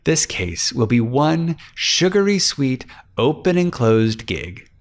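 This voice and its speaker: A man voicing a slick, greedy, flirtatious private detective in a crime audiobook, smooth-talking and cocky. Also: medium pitch, sing-song, slow pace, voice pushed up.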